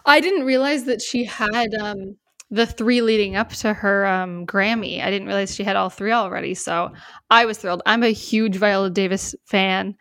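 A woman's voice: mid-range voice, steady tone, normal speed, fairly bright tone, smooth, no echo. A young adult woman co-hosting a casual film and TV podcast in an informal, upbeat, appreciative way. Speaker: podcast co-host.

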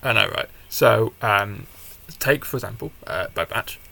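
A man's voice: lowish voice, a little slow, gravelly, bright, forceful tone. A man co-hosting a casual review podcast, laid-back and agreeable, laughing often. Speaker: podcast co-host.

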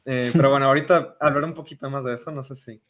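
A man's voice: mid-range voice, steady tone, racing, crowd noise. A man with a Mexican accent hosting a casual podcast, rambling with lots of "o sea". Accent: Mexican Spanish. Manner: rambling, many fillers like "o sea". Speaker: podcast host. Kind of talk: casual discussion.

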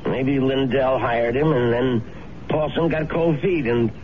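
A man's voice: medium pitch, fairly even intonation, a little slow, lightly acted. Genial sneaky lodger guy done by an actor with a cold, coaxing, stammery, noisy old radio play. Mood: uneasy, persuading. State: has a cold, coughing. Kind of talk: 1970s radio drama acting. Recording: noisy, dull 1970s radio broadcast.

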